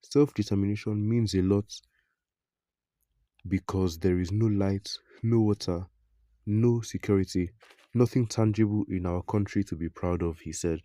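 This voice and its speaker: A man narrating a news report in a scripted, even read, quoting an interview and reading out public comments. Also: lowish voice, a little slow, no echo, studio quality.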